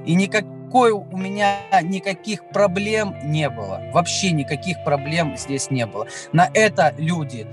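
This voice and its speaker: Rich: An earnest, emphatic man with a somewhat high voice. He is recorded outdoors by a sports pitch on a lapel mic, with music playing clearly underneath. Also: lively intonation, measured pace, few pauses. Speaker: interviewee.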